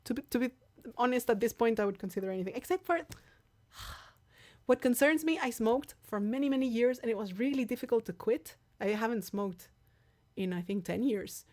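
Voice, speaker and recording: A woman's voice: a little high, normal speed, a little mellow. A woman with a Mexican accent, a native Spanish speaker, chatting casually with viewers as the singer hosting a live stream, candid and full of restarts. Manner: chatty and candid, many restarts and repeated words.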